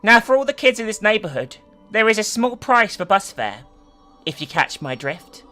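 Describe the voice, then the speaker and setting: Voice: mid-range voice, very animated, moderate pace, bright, forceful tone, faint music behind, voice pushed up. A narrator voicing a cocky twelve-year-old boy bully who taunts with mock-polite menace. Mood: smug.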